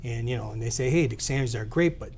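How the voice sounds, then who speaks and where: A man near fifty, born in Italy, answers a local interviewer in a mid-pitched, easygoing voice. His speech is plain and full of little fillers, with a faint hum of room noise behind him. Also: quick pace, darkish tone. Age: 40s. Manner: plain-spoken, many "uh" and "you know" fillers. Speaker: deli owner, guest.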